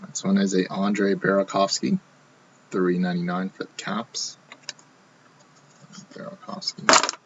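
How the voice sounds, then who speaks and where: A man hosting a live card box break, talking casually while he opens packs, his voice going hoarse. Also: low-pitched, level tone, a little slow, pauses often, a bit bright, highs cut off, a little hiss or hum. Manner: casual, running commentary while opening packs. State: losing his voice.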